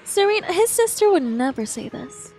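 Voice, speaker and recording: A woman's voice: very high-pitched, sing-song, moderate pace, darkish tone, soft background music. A woman streaming her reactions to a game story and reading dialogue aloud, emotive, exclamatory and sympathetic.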